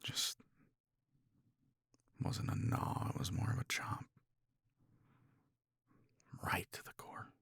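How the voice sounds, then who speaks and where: A man acting a jealous, frustrated academic in an intimate romance audio roleplay, speaking in a halting, intense manner. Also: a bit bright, dry recording. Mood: apologetic, confessing. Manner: halting, intense, slipping into breathy near-whispers. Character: jealous, frustrated male academic confessing to his assistant.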